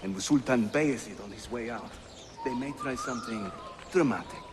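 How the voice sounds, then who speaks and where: An actor playing a jovial, witty Turkish assassin leader who guides newcomers, with a Turkish accent and a teasing, theatrical delivery in a video game. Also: male voice, medium pitch, animated, moderate pace, a little hiss or hum, close to an everyday voice. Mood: grave, wry. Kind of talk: video game character acting. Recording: loud music behind the voice.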